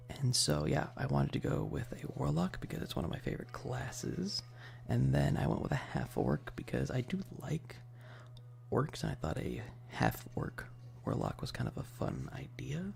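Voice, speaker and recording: Gravelly, unhurried man in ASMR chat. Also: mid-range voice, sing-song, a little mellow. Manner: hesitant, rambling, frequent pauses; often drops into breathy, whispery speech. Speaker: ASMR streamer. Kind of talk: soft casual ASMR livestream chat.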